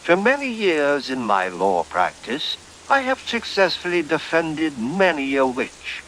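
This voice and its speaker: A man voicing a suave, scheming gentleman barrister in a horror radio play, eloquent, formal and theatrical. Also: on the high side, sing-song, unhurried, slight background noise, low-quality recording. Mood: smug, gloating. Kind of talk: old-time horror radio play acting.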